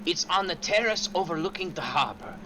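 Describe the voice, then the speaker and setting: A man's voice: high-pitched, animated, a little fast, background noise. An actor playing a sly, genial, mercenary male informant in an old-time style radio drama. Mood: sly, bargaining.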